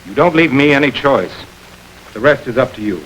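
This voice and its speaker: An actor playing a calm, hard-nosed male crime investigator who presses suspects steadily, in 1940s radio acting style. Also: mid-range voice, a little fast, a little hiss or hum, lightly acted, low-quality recording. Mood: stern. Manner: steady, coolly persuasive.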